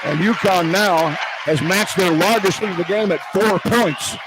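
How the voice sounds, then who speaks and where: A man doing live radio play-by-play of a basketball game in clipped, excitable running phrases that can rise into shouts. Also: high-pitched, measured pace, crowd noise. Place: courtside in an indoor arena. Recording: loud arena noise behind. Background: loud game ambience.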